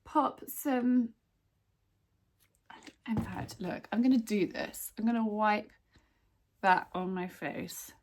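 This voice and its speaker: A woman giving chatty laundry tips in a casual vlog, sounding a bit croaky. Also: medium pitch, slow, pauses often, slight background noise. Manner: chatty, friendly asides to viewers. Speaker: lifestyle vlogger.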